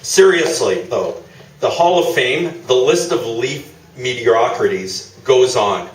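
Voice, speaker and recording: A man's voice: mid-range voice, expressive, slow pace. Man acting a dry sardonic interviewer, echoey room. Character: skeptical, needling male sports interviewer. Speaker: radio play actor. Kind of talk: comic radio play performed live on stage. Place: small theatre, live performance. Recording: echoey theatre, distant mic, noisy.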